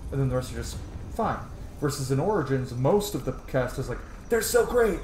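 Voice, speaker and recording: An echoey room with faint noise surrounds a man of average pitch. He gives casual, opinionated let's-play commentary and rambles conversationally. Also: very animated, a little fast, a little mellow. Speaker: video game streamer.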